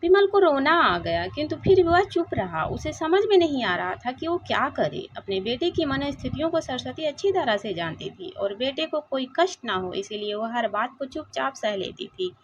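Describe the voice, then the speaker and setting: A woman narrates a sentimental moral story in a fairly high voice. Her delivery is dramatic and very animated, with wide swings in pitch. The recording sounds a little dull and lo-fi. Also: normal speed, few pauses, slight background noise.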